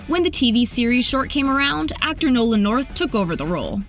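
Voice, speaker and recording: High-voiced woman narrating with music behind. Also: sing-song, a little fast. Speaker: video narrator. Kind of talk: scripted entertainment narration.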